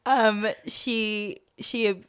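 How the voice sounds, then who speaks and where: A woman's voice: a little high, fairly even intonation, drawn-out words, a bit bright, smooth, no echo. A young woman guest on a podcast, speaking candidly and thoughtfully, with frequent fillers and restarts and easy laughter. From grew up partly in Zimbabwe and London. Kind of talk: candid reflective conversation.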